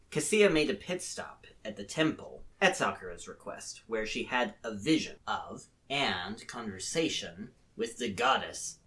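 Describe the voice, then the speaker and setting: A man's voice: medium pitch, sharp tone. A man reading a scripted comic recap as narrator of a fantasy game show. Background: light music.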